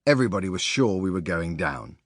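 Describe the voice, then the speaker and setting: Male narrator for non-fiction audiobook: lowish pitch, highly varied intonation, measured storytelling, close dry sound. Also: very animated, no echo. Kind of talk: scripted narration of a sports biography.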